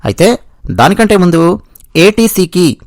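A man with a high-pitched voice and a Telugu accent delivers explanatory news commentary. He teaches as he goes, spelling out terms and restating points. The recording is close and dry, with no room sound. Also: big pitch swings, a little slow, dry recording. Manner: didactic, repeats and spells out points. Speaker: host of an explainer video channel.

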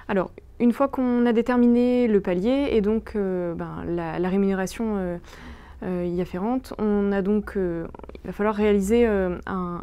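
A young adult woman, explaining slides in a webinar in a hesitant, halting way. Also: medium pitch, dark tone, clean tone. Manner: hesitant, halting delivery with frequent pauses. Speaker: presenter explaining labour agreement changes. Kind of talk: webinar slide walkthrough, semi-improvised explanation.

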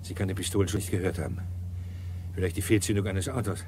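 An actor playing a shrewd, sardonic, dogged police inspector in a German radio crime drama, commanding and dry with muttered asides. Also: male voice, sits low, fast talker, dark tone, a little hiss or hum. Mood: probing.

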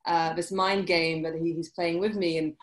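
A woman in her forties with a British accent, answering interview questions over a video call, halting with many restarts. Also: on the low side, steady tone. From UK and France. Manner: hesitant, many restarts and fillers. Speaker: guest, journalist. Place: indoor room, video call. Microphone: laptop webcam mic.

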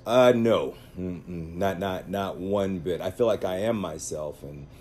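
A man around forty from Seattle, answering interview questions with many pauses and restarts. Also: low voice, a little mellow, slight background noise. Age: late 30s to mid 40s. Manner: hesitant, many fillers and restarts. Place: indoor storage room with swim gear.

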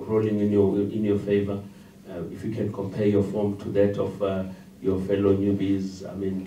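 Male reporter, very dark low voice, far from mic in echoey room, rambling question. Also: a little hiss or hum. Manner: long rambling question with fillers. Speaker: sports journalist. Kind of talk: press conference question.

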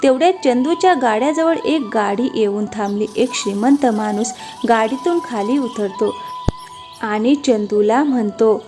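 A woman's voice: a little high, unhurried. A woman reading scripted narration for a cartoon moral story. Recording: music behind the voice.

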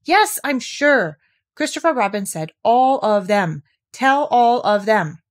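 Lady doing a bossy fussy storybook owl guy, high and emphatic, swoopy delivery. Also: very animated, slow pace. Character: fussy, self-important male owl messenger.

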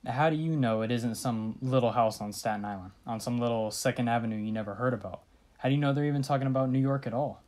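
A woman's voice: rumbling low, fast, a little mellow. A woman playing a bored, brusque police desk sergeant in an old-style radio thriller. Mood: placating. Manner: brusque, placating, weary.

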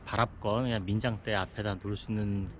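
A middle-aged man talks through his fishing outdoors, with a fairly low voice and a chatty, filler-dotted delivery. A steady outdoor noise sits clearly behind him on the camera mic. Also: fast. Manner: chatty, filler-heavy, frequent "예". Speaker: fishing vlogger. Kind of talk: casual fishing commentary. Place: outdoors at a seaside fishing pond.

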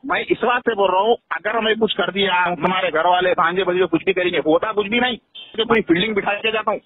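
Male caller, Hyderabadi accent. Rapid, high voice, insistent and coarse-tongued, over a phone. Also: slightly rough, dry recording. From Hyderabad, India. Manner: insistent, repetitive, swearing. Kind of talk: heated informal phone conversation. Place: telephone call, played in a news upload. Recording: tinny phone call recording.

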